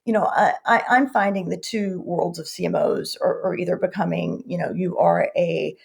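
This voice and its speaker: Female podcast guest, low husky voice with fry, emphatic, halting conversational delivery with repeated words. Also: steady tone, a little mellow. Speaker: podcast guest, marketing executive. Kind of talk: conversational interview answers.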